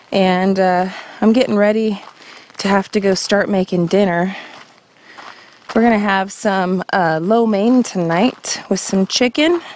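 A woman's voice: mid-range voice, a little mellow, a little hiss or hum. A tired-sounding woman in her thirties chatting casually to a camera held at arm's length as she vlogs about homestead life. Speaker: homestead vlogger. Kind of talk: casual vlog chat to camera. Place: inside a canvas storage tent and outdoors in a wooded yard. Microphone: handheld camera at arm's length.

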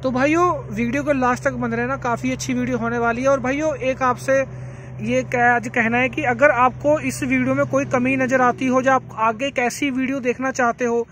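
Male vlogger, strikingly high voice, informal demonstrator chat, light outdoor background noise. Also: little pitch movement, moderate pace, a bit bright. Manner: chatty, repetitive, addresses viewers as brothers. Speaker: farming vlogger. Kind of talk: casual product demonstration to camera. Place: outdoors beside a tractor by a green field.

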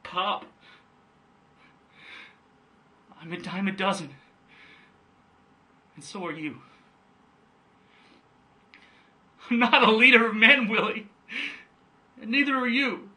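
A man's voice: high voice, normal speed, long pauses, bright, forceful tone. A man performing a theatrical monologue as an anguished, defiant grown son confronting his father, in an impassioned delivery. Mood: bitter, pleading. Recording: distant microphone.

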